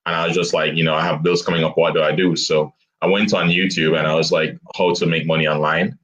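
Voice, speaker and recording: A young man guest telling his story in a relaxed interview, with halting restarts and frequent laughter. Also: extremely low pitch. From abroad, grew up outside the US. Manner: laughs often, self-deprecating, halting restarts. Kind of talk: casual interview chat. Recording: dull lo-fi online call audio.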